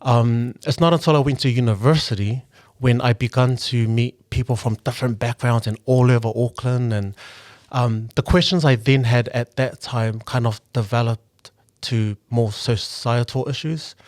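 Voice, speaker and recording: A young man from New Zealand speaks in a mid-pitched voice, thinking aloud in an earnest, reflective way with plenty of "um"s and restarts. The sound is very dry and close, with no room echo at all. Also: fairly even intonation, moderate pace, darkish tone. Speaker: guest, local politician and engineer. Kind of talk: casual podcast conversation.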